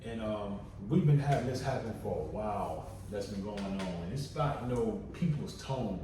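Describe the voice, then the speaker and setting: A soft-spoken man in his thirties with a deep voice, chatting casually on a podcast in a relaxed, drawling way with many fillers. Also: normal speed. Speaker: podcast co-host. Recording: echoey room, distant mic, faint noise.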